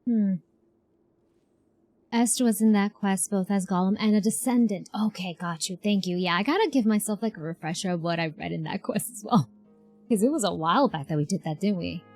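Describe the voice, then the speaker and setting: Chatty woman streamer, mid-pitched. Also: brisk, a little mellow. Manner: chatty, informal, reactive. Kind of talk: casual live reaction commentary. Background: game music.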